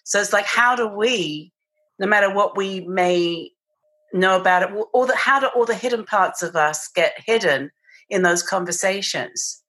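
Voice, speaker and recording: A woman's voice: mid-range voice, normal speed, a bit bright. A woman with a British accent, a guest in a podcast interview, talking reflectively and searching for her words. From England. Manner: thoughtful, searching, restarts mid-phrase.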